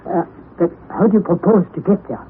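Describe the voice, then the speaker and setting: An actor playing a formal, courteous scholar in a 1960s radio drama, speaking with polite hesitations. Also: male voice, sits high, a little fast, lightly acted. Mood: helpful. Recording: phone-like narrow 1960s radio broadcast with faint hiss.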